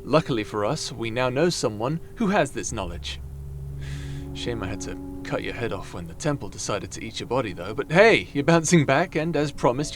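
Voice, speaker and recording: A man playing a breezy, roguish adventurer god in an ASMR fantasy audio roleplay, casual and animated with hesitant bluster. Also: medium pitch, close to an everyday voice.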